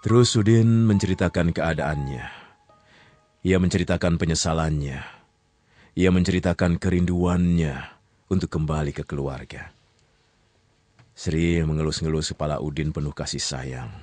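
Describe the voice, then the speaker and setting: A man with a very low voice tells a radio story, calm and measured. Faint music runs beneath his narration. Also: no room sound.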